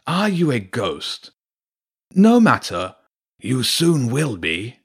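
A man voicing a large grey wolf villain in a storybook, confident and taunting with mock-friendly, unhurried menace. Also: mid-range voice, wildly swooping pitch, husky, voice pushed up, full character voice. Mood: menacing taunting. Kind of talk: audiobook character voice.

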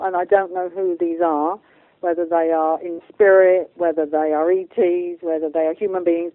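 A woman's voice: fairly low pitch, no room sound. A woman guest explaining spiritual beliefs in an informal, rambling way, often checking that she is followed. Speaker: guest medium and channeller. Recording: narrow, phone-like line.

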